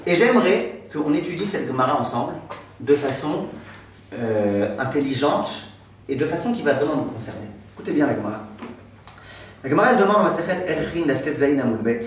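A man in his thirties, a rabbi giving a lively storytelling lesson and joking with his class in a classroom. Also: a little high, slight background noise. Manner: animated, gestures broadly, jokes with the class. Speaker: rabbi teaching a Torah class. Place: classroom with a decorated board, indoors. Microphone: far camera. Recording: echoey room from far off.

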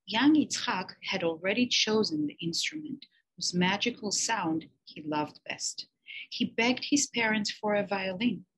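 A teacher reading a children's picture book aloud, slow and expressive with emphatic pauses. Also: female voice, medium pitch, breathy edge, low-quality recording.

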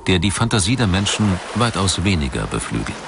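A man reading scripted documentary narration in a measured, articulate manner. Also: sits low, big pitch swings, gravelly, noisy background.

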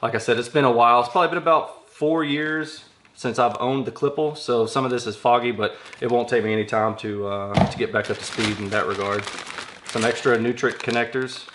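A man's voice: mid-range voice, fairly even intonation, fairly quick, fairly bright tone. A man in his thirties giving casual, think-aloud unboxing commentary in an informal manner, in a small workshop room. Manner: informal, thinking aloud while handling items. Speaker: product reviewer unboxing audio gear. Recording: clear crinkling and handling noise. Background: packaging crinkles, clicks, rustling.

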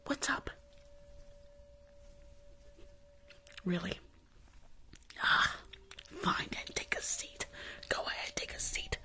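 A young woman playing a rude, weary school nurse in an ASMR roleplay, grumbling in a breathy whisper close to the mic. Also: unhurried, bright, forceful tone. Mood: exasperated. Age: young adult. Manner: sassy, grumbling, rambling. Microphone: close foam-covered microphone on desk.

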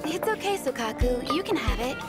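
Polite young office lady in anime game, high expressive voice, composed, loud music behind. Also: a little fast. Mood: gentle. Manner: courteous, composed. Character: polite, diligent young female office deputy, gentle but firm. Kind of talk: anime-style game voice acting.

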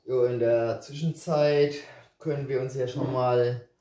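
A man with a regional German dialect, casually talking through a cooking tutorial in his home kitchen, relaxed and rambling with hesitations. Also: medium pitch, measured pace, far from the mic. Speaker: home cook vlogger. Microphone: camera set on the counter, speaker off to the side. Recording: roomy kitchen, voice away from mic, faint noise.

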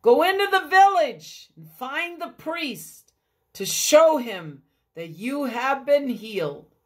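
Woman voicing a calm, firm male healer. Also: medium pitch, slow. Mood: commanding.

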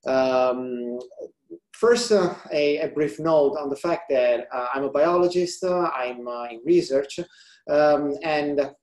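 A man from Italy with an Italian accent, a research biologist giving an online slide presentation in a hesitant way with many pauses. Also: mid-range voice, darkish tone. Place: indoor room, video call. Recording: roomy, echoing video call.

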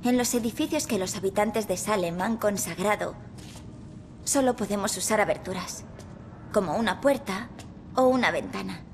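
A woman's voice: medium pitch, moderate pace, natural voice. An actress playing a stern, knowing female ghost guide who speaks cryptically and with measure in a video game dub. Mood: calm, instructive. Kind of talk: video game cutscene acting in Spanish dub. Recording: faint ambient noise.

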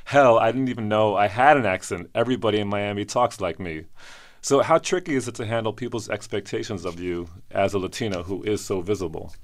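A man co-hosting a podcast, asking interview questions in a relaxed way and laughing easily. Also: lowish voice, fairly quick. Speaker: podcast co-host. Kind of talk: casual interview.

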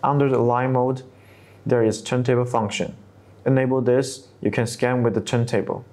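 A man in his thirties narrating a scripted software tutorial step by step, with non-native English phrasing. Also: mid-range voice. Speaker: tutorial presenter.

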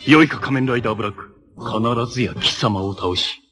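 An actor playing a menacing armored cyborg villain in a 1980s Japanese superhero TV show, making imperious, theatrical proclamations. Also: male voice, on the low side, brisk, fairly bright tone, lightly acted. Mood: cold, threatening.

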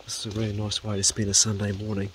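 A man's voice: lowish voice, fast, a little hiss or hum. Easygoing New Zealand homesteader man. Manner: relaxed, practical, coaxing the dog with "good boy" and "mate". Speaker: homesteading vlogger. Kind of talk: casual explanatory vlog, talking to his dog. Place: outdoors on a rural homestead and in bush. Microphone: body-worn action camera.

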